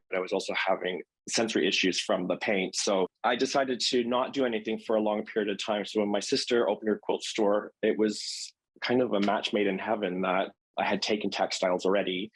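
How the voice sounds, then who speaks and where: A man's voice: lowish voice, breathy edge, rough recording. A man with a Canadian accent chatting casually as an interview guest, telling personal stories. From London, Ontario, Canada. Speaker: interview guest, quilter and teacher.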